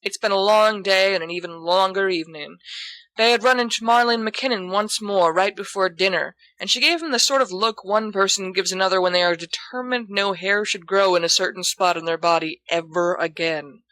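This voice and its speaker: A woman's voice: mid-range voice, normal speed, fairly bright tone, no room sound. A woman reading scripted fiction as the narrator of an audiobook. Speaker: volunteer audiobook reader.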